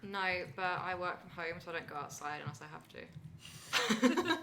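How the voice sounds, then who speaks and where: Bright-voiced woman explaining casually, slightly low pitch. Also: very animated, measured pace, slight background noise. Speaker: returning podcast guest, language expert. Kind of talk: casual explanatory podcast chat.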